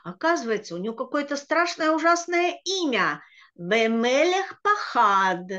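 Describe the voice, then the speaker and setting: Bright, fairly high-pitched middle-aged woman, patient teacher sounding words out slowly, speaking over a dull, lo-fi video call line. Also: big pitch swings. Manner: patient, slow and deliberate when sounding out words. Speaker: Hebrew reading teacher. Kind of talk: online language lesson. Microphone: computer mic on a video call.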